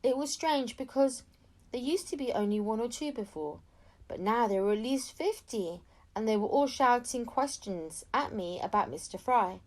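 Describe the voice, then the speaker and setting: Female audiobook reader, higher-pitched voice, expressive children's storytelling with acted dialogue. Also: normal speed. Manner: acts out dialogue between narration.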